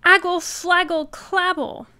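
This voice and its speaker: A high, bright toddler girl, acted by a woman, babbling loudly and urgently. She is a frantic little storybook character, hammering out her nonsense words. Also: fairly even intonation, slow, exaggerated voice. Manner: loud emphatic baby babble.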